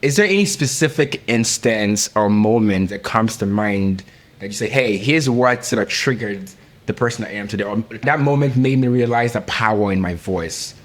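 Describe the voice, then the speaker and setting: A woman from Nigeria with a Nigerian accent, hosting an interview podcast, lively and quick to laugh. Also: very deep voice. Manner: animated, laughs easily. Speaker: podcast host. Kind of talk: casual interview.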